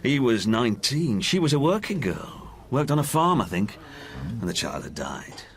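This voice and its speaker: An actor in a radio drama playing a candid working man who haltingly recalls a friend's decline. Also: medium pitch, sing-song. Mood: confiding. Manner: halting, plain-spoken, reflective.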